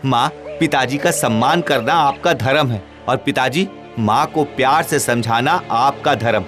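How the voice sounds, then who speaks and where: An actor voicing a kind, righteous, devout young village man in a Hindi animated moral story, speaking earnestly and preachily. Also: medium pitch, measured pace, natural voice. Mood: solemn advice. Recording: loud background music.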